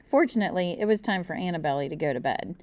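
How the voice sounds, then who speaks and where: A woman's voice: on the low side, fast talker, dry recording. A woman reading a children's book aloud as storyteller, expressively narrating in the first person and acting out the dialogue. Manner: animated, acts out the dialogue.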